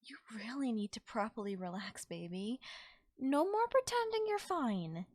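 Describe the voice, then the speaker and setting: A woman playing a caring, affectionate girlfriend in a soft, intimate ASMR audio roleplay, speaking in a tender, coaxing way. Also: a little high, sing-song, measured pace, no room sound, clean studio sound. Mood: gently insistent. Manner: tender, soothing, coaxing, breathy near-whispers at times. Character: caring, affectionate girlfriend comforting a tired partner. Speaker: voice actor. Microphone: close microphone in a dry space.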